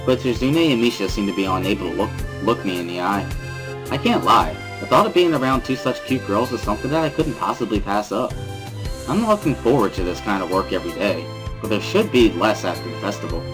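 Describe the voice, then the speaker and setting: A man's voice: lowish voice, lively intonation, a little fast, low-quality recording. A man giving an amateur first-person dramatic reading of a visual novel as its narrator. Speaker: amateur reader and narrator of a series. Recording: prominent background music under voice. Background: instrumental music.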